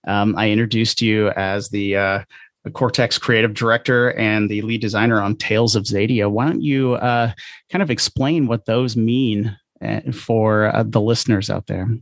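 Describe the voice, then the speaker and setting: A man's voice: on the low side, fairly even intonation, normal speed, no room sound, clean studio sound. A man hosting a podcast interview in casual chat, with a hesitant, rambling way of talking.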